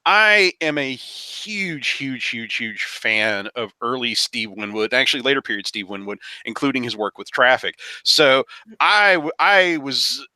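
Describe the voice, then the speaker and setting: A man on a podcast panel discussing films casually, haltingly, repeating phrases as he thinks. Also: medium pitch, animated, pushed and bright, no echo.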